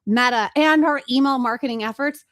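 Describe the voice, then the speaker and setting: Higher-voiced female webinar host from Chicago; fast, direct, energetic, casual with filler words. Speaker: webinar host and agency trainer. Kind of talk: live webinar teaching.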